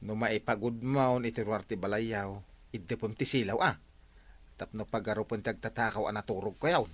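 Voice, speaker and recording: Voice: mid-range voice, brisk, natural voice. A performer playing an inquisitive, scheming village watch volunteer in a comedy radio drama, questioning and coaxing with a northern Philippine Ilocano accent. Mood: scheming, hushed.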